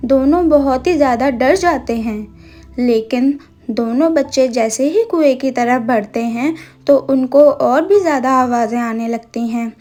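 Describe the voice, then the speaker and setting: High-voiced woman narrating slowly. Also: a little mellow, soft background music. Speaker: storyteller, narrator. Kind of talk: scripted cartoon story narration.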